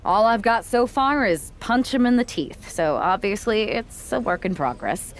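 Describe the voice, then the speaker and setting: A woman's voice: mid-range voice, lively intonation, normal speed, natural voice. A woman playing a guarded team-leader wrestler in a video game, speaking in a casual, sardonic way. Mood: thoughtful. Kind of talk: scripted video game cutscene dialogue.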